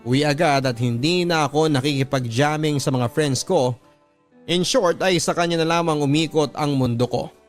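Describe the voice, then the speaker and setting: A man's voice: on the high side, moderate pace. A man with a Filipino accent reading a listener's letter aloud as a radio drama narrator, in a scripted dramatic storytelling style. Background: background music.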